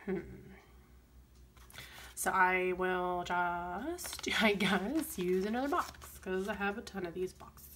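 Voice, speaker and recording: Chatty woman with a crackly voice. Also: takes it slowly. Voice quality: strained, crackly voice that drops deep. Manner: rambling, chatty, soft asides. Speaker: planner vlogger. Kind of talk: casual plan-with-me chatter. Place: home desk, camera overhead on planner.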